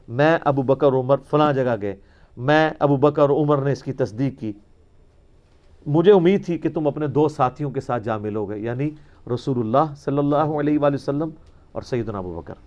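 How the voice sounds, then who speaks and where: Higher-pitched man giving a polemical religious lecture. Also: a little mellow, muffled recording. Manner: polemical, mocking, rhetorical. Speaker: religious teacher answering questions. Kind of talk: argumentative religious lecture with quoted narrations.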